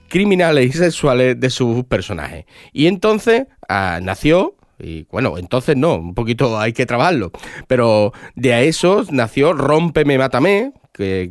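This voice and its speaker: Congested radio host with a cold. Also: male voice, medium pitch, smooth, no echo. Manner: hesitant, with many fillers and restarts. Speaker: radio book show host. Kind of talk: casual radio interview.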